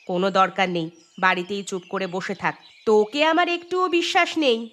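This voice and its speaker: A woman's voice: medium pitch, moderate pace, a bit bright, lightly acted. A woman voicing a stern, distrustful mother in a cartoon, with a scolding, emphatic manner. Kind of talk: Bengali cartoon story dialogue. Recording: music behind the voice.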